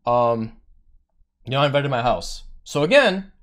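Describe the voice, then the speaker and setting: A man streamer in the middle of a heated rant to his chat. He swears a lot and his pitch swings widely as he acts out what others said, mid-pitched and lively. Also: a little slow. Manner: heated, petty, swearing, quotes others in raised voice; says he has a monotone voice.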